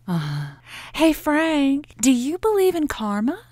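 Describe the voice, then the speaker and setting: A woman's voice: high-pitched, wildly swooping pitch, measured pace, darkish tone, no echo, full character voice. A woman playing a playful, caring late-night radio advice host in an audio drama, with an intimate, teasing, encouraging manner. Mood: sly, teasing.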